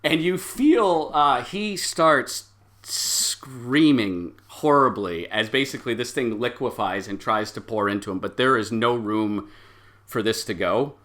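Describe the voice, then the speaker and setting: A man narrates an improvised tabletop game as its game master. His voice is mid-pitched, bright and forceful, and he builds scenes vividly in halting pieces with many pauses.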